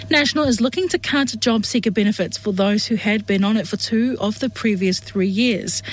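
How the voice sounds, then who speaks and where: A woman reading radio news bulletins in a steady, formal way. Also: mid-range voice, poor audio. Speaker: news presenter.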